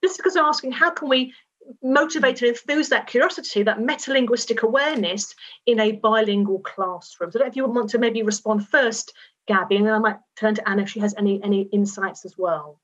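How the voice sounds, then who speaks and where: Woman panel chair, fairly high voice, polite and measured, muffled video call sound. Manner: polite, measured, relaying questions. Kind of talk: moderating questions. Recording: dull lo-fi video call audio.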